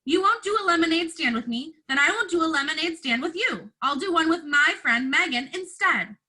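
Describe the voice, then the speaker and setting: Precocious young girl read by a woman for a storybook: defiant, indignant, sharp high voice. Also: animated, a little fast, exaggerated voice. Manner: indignant, smug.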